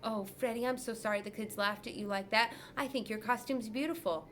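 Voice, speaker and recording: A woman voicing a kind female teacher who speaks brightly and reassuringly. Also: fairly high pitch, fairly even intonation, brisk, a little hiss or hum, close to an everyday voice. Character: kind caring female schoolteacher.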